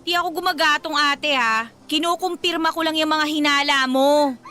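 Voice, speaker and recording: A concerned Filipina younger sister in a radio drama. Her voice is high and sharp-edged, and she is outspoken and probing in an expressive way. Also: normal speed. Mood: knowing. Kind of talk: Tagalog radio drama acting.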